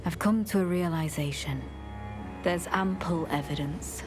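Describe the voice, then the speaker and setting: An actress playing a devoted, suspicious female knight in a fantasy game, speaking formally and with measured, archaic phrasing and quiet menace. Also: on the low side, over music, lightly acted. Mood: quietly menacing. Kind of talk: dramatic fantasy game dialogue.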